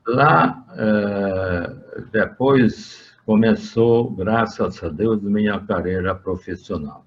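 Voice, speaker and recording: Slow older Hungarian-accented man. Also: lowish voice, slight background noise. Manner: slow and halting, with frequent "eh" fillers and restarts. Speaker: interviewee. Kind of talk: recounting his working life in an interview. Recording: dull lo-fi sound.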